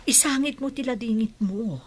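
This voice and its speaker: A woman playing a consoling older aunt in a radio drama, with a soft, motherly manner. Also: a little high, normal speed, husky.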